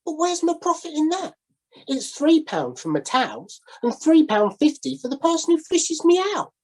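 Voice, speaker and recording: A woman's voice: high voice, sing-song, moderate pace, darkish tone, voice pushed up. Woman doing a wheedling, shifty old sailor. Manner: wheedling, salesman-like. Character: shifty male sailor hawking his own drowning.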